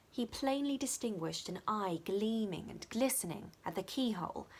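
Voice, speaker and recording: A woman reading a story as dramatic scripted narration. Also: medium pitch. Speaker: audiobook reader.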